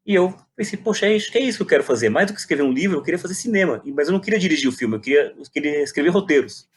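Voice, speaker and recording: A man's voice: a little high, lively intonation, very fast, a little hiss or hum. A man, telling his story reflectively and animatedly in a conversational interview. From Brazil. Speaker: guest, playwright and poet.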